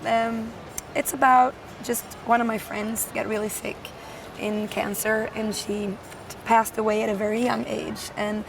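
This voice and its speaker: A woman musician with a naturally hoarse voice, chatting in a friendly casual interview outdoors. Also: medium pitch. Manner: friendly, laughs easily. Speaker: musician guest. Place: outdoors at a festival. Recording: distant mic, faint street noise.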